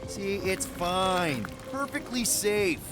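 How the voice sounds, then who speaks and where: An actor playing an easygoing, overconfident young leader of space outlaws in a video game, talking in a breezy, glib way. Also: male voice, high voice, expressive, slow, music in the background.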